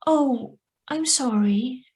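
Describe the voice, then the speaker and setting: A troubled young woman played by an actress with a fairly high voice. She is hesitant and brooding, as the heroine of a radio suspense play read live over a video call. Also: extremely slow, darkish tone. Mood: polite, gentle. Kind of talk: radio drama acting with first-person narration. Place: remote, on a Zoom video call.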